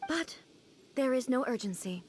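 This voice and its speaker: A woman playing a calm, dignified, slightly naive ageless ruler in a video game, with formal, measured phrasing. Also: high-pitched, a little hiss or hum. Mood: measured, stately.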